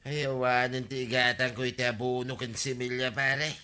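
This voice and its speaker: A man's voice: mid-range voice, level tone, normal speed, pushed and bright, smooth, close to an everyday voice. A man playing an aggrieved, complaining villager with an Ilocano accent in a radio comedy drama, speaking plaintively and emphatically.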